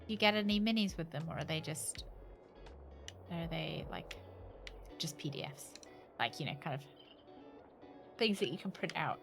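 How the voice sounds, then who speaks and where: A woman's voice: low voice, animated, normal speed, gravelly, pauses often, over music. A woman giving casual live commentary as she plays a game, with jokey, reactive asides. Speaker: livestreamer playing a horror adventure game.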